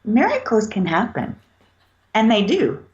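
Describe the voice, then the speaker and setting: A woman's voice: medium pitch, wildly swooping pitch, unhurried, a little mellow. A woman author speaking as an interview guest, reflective and hesitant, with many fillers. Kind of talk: casual interview chat. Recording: slightly dull lo-fi sound.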